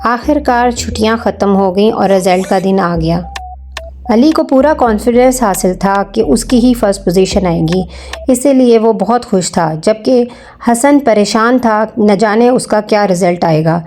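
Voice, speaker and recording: A woman's voice: medium pitch, a little mellow. A woman narrating a scripted moral story for children.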